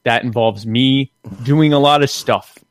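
A man chats casually as a podcast host in a dry, close recording. His delivery is wry and jokey, wandering and halting as he goes, at a medium pitch. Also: dry recording. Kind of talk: casual conversational podcast chat.

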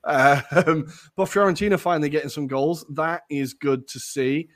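Young guy running a footy pod, voice going slightly raspy, lots of ums and laughs. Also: fairly high pitch, fairly even intonation, normal speed. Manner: chatty, jokey, lots of ums. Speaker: podcast host. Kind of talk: casual football podcast chat.

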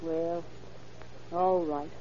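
A woman's voice: on the low side, fairly even intonation, extremely slow, warm tone. An actress playing a brazen female forger who feigns innocence in an old-time radio crime drama, with theatrical delivery. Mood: hard, scornful. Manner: theatrical, switching between pleading and hard-boiled. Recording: muffled, noisy vintage radio recording.

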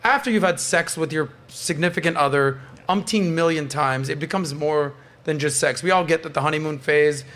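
A man's voice: a little high, normal speed, hardly any pauses. A man with a Canadian accent hosting a casual podcast panel, telling stories with playful teasing and frequent swearing. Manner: animated storytelling, swears freely, playful teasing. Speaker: podcast host.

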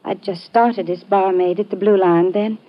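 An actress playing a guarded working-class British barmaid who answers tersely, in an old radio detective drama. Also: female voice, mid-range voice, fairly even intonation, moderate pace, close to an everyday voice. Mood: subdued, guarded. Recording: muffled old radio recording.

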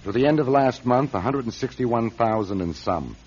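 An actor playing a shrewd, guarded car company chief in a radio drama, speaking with authority and probing. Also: male voice, mid-range voice, expressive, normal speed, darkish tone, breathy edge. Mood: grave urgent. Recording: dull, muffled radio drama recording.

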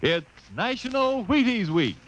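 A man's voice: very high-pitched, very animated, measured pace, loud music behind the voice. A man with an American accent announcing a vintage radio commercial in a peppy, punchy, folksy sales style. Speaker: radio announcer and sponsor pitchman.

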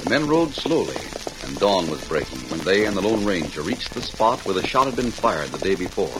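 Deep, dramatic old-time radio announcer man. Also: sing-song, over music. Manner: dramatic, formal, measured announcer delivery. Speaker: announcer and narrator. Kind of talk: 1940s radio drama narration. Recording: noisy, hissing vintage radio recording.

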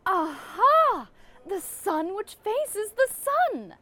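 A woman's voice: extremely high pitch, very animated, very slow, bright, forceful tone, exaggerated voice. A woman playing an inquisitive, spirited adventurer in a fantasy audio drama. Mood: delighted.

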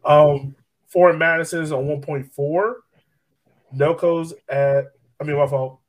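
Guy cohosting a casual sports podcast, voice a bit on the high side, punchy and repeats stuff. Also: fairly even intonation, a little slow, low-quality recording. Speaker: podcast co-host. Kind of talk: casual sports chat and analysis.

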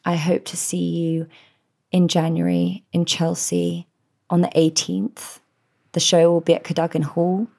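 A woman, hosting a podcast and speaking gently and heartfelt to her listeners. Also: lowish voice, creaky. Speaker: podcast host. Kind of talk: reflective solo podcast address.

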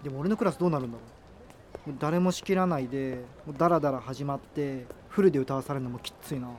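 A man playing a gloomy, contrary high school boy in casual, grumbling radio drama banter. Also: fast talker, natural voice. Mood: reluctant. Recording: faint background noise and ambience.

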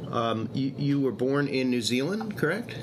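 A man's voice: medium pitch, big pitch swings, normal speed, noisy background. A male interview host who asks questions and encourages his guest with frequent short replies. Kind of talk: conversational interviewing.

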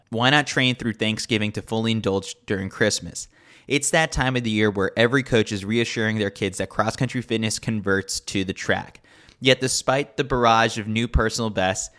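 A man's voice: fairly low pitch, fairly bright tone. A man reading a scripted sports newsletter as a podcast host, with a wry, tongue-in-cheek delivery. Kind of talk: scripted narration, sports newsletter read aloud.

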